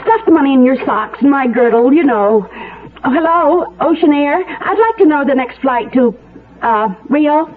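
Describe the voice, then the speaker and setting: An actress playing a brash, breezy, clumsy wife on the run in a comedic radio drama, wisecracking and unflappable. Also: female voice, high-pitched, lively intonation, normal speed. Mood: brisk. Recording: tinny, noisy old radio recording.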